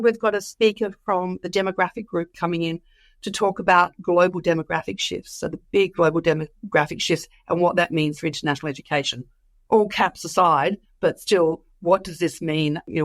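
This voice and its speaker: A woman's voice: fairly low pitch, fairly quick, no echo. A woman, recovering from the flu so her voice comes and goes, chatting enthusiastically as a guest about a conference. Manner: enthusiastic, rambling with many fillers. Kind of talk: casual interview chat.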